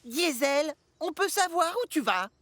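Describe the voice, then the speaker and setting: A woman voicing a young, curious, cheeky talking train engine in a children's cartoon, lively and questioning. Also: high-pitched, unhurried, background noise. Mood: suspicious.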